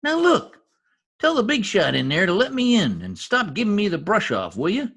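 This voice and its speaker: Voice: medium pitch, wildly swooping pitch, moderate pace, a bit bright, exaggerated voice. A performer in a 1940s-style radio comedy-mystery voicing a shady, wisecracking informant with slangy, ungrammatical talk. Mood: brash, insistent. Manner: streetwise slangy talk with bad grammar. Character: shady, wisecracking lowlife gangland informant nicknamed Piggy. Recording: husky-sounding home recording over video call.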